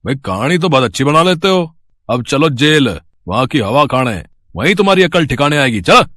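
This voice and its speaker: A gruff village cop acted by a man from a storybook story. He is bossy and sneering, with a lowered voice in a dry recording. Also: big pitch swings, moderate pace, a bit bright, no room sound. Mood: bossy, scornful. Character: gruff, scornful village policeman.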